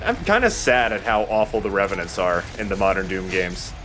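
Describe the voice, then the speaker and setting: A man's voice: low-pitched, sing-song, brisk, music in the background. A man giving casual commentary as he plays a shooter game, as host of a let's play.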